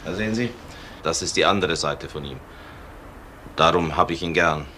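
Earnest deep-voiced father. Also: normal speed, bright, forceful tone, slight background noise. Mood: earnest, insistent. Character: protective father vouching for a friend. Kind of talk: German crime radio play acting.

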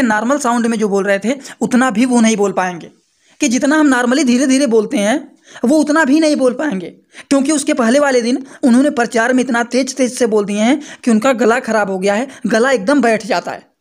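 A young man explaining a medical topic to students in a lecture, repeating points and checking with rhetorical questions over his slides. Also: very high-pitched. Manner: repetitive, asks listeners rhetorical checks. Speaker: pharmacy teacher.

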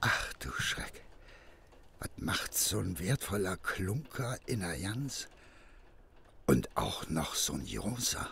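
An actor playing a poor, simple-hearted porter with a Berlin accent in a German audio drama, colloquial, hesitant and excitable, with an anxious streak. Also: male voice, low-pitched, slow, slight background noise, full character voice. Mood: worried. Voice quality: gravelly, husky.

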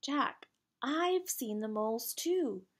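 A woman voicing a curious, excitable girl from a children's storybook, eager and questioning. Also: high voice, normal speed, vocal fry, pitched above the performer's own voice, heavily acted. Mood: excited. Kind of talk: children's storybook character read aloud.

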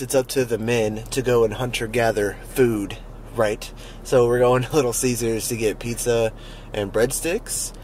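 A man's voice: lowish voice, darkish tone, a little hiss or hum. A young man in his twenties talks casually to a handheld camera for his daily vlog, rambling and laughing often. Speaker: vlogger. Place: outdoors in city streets and parking lots, also in a car and at home. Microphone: handheld camera at arm's length.

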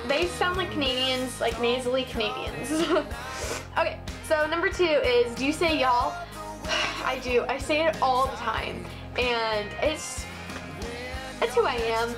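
A woman's voice: high-pitched, unhurried, music in the background. A young woman with a Southern Ohio twang, chatting casually to her webcam in a small bedroom, bubbly and gushing, full of ums and likes. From Midwest United States. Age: young adult. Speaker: vlogger. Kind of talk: casual chat to camera. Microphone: built-in webcam mic.

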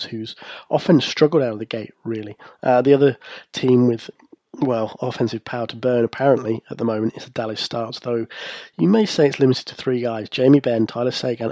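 Male podcast host, croaky and congested from a cold, measured analytical delivery, dry close-miked sound. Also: mid-range voice, dark tone, no echo. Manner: measured, analytical, occasional fillers. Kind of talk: solo hockey news commentary.

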